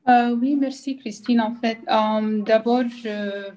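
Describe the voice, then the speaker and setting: A woman's voice: a little high, steady tone, darkish tone, rough recording. A woman whose native language is Arabic speaks French with an Arabic accent, choosing her words carefully as a forum participant. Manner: careful, slow, searching for words.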